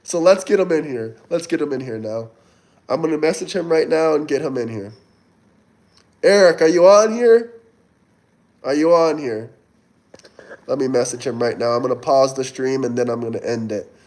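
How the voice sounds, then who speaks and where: A man's voice: mid-range voice, expressive, normal speed, pauses often, darkish tone. A man in his twenties from Florida, hosting a casual livestream and answering viewer chat as he reads it out. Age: 20s. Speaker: livestream host.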